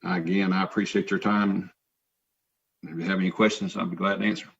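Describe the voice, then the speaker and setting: A man speaks plainly and politely to a hearing, with the occasional filler. His voice sits low, and the sound comes through dull and lo-fi. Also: level tone, a little fast, vocal fry, a bit bright, husky. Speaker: applicant, builder. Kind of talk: remarks at a public hearing.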